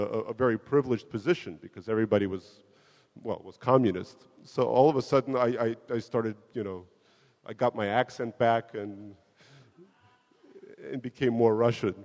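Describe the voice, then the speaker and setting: A man born in the Soviet Union, a guest in an interview, telling stories about himself in a relaxed, self-mocking way with frequent laughs and restarts. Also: medium pitch, normal speed. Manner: self-deprecating, laughs often, many restarts. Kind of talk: relaxed conversational storytelling. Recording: long hall reverb.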